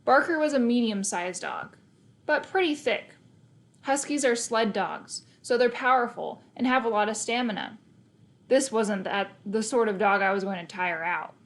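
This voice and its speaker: A woman's voice: fairly high pitch, normal speed. A woman narrating a children's novel aloud in the first person, expressively, with emphasis on key words. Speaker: storybook reader.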